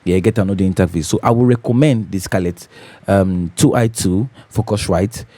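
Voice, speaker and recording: A man with a deep, bassy voice hosting a home recording tutorial, talking casually and repeating his key points as he checks in with viewers. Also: normal speed, dark tone, no room sound. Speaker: host of a home recording channel. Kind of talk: casual tutorial talk to camera. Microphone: studio condenser microphone.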